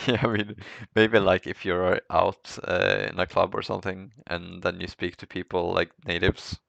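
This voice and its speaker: A man with a low voice and plenty of vocal fry chats casually as a podcast co-host. His delivery stays fairly even, in a dry, close recording. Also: measured pace, no room sound, muffled recording.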